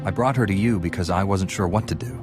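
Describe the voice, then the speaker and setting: Steady, low-voiced heroic Jedi knight man acting. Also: quick pace, music in the background, close to an everyday voice. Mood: earnest. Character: steady, dutiful, courageous male Jedi knight. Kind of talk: dramatic video game acting.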